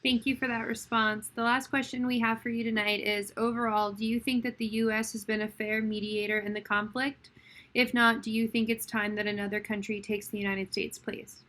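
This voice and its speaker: A woman's voice: a little high, level tone, a little fast, a bit bright. A woman moderating a Q&A, politely reading out questions.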